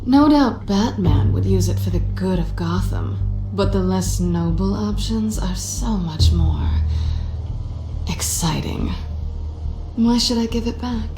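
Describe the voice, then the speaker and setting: A woman's voice: mid-range voice, lively intonation, takes it slowly. A woman voicing a sly, flirtatious, cynical cat-burglar antiheroine in a video game, with a teasing, sardonic manner. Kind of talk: cinematic video game voice acting. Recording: game soundtrack music behind, roomy reverb. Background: background music.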